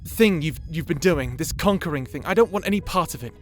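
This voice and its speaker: A timid young wizard from a fantasy audio drama, acted by a man, with a somewhat high male voice. He stammers and hesitates, awkward but earnest and quick to apologise, over faint music. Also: lively intonation, close to an everyday voice. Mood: earnest, pleading. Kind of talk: fantasy audio roleplay acting.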